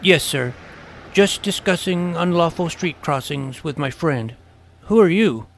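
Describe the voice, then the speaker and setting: A man's voice: on the high side, expressive, unhurried, a little hiss or hum. An actor playing a wry twelve-year-old boy detective who narrates his own adventure in an audio drama. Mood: startled, nervy. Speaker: narrator and lead. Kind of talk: scripted first-person story narration. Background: clear music.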